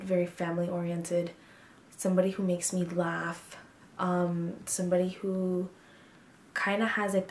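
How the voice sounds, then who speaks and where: A woman's voice: on the low side, darkish tone, echoey room, slight background noise. A woman of twenty-two chatting frankly to camera about herself in a casual get-to-know-me video, with lots of ums and likes. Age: 20s. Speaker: beauty vlogger. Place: small bedroom, indoors.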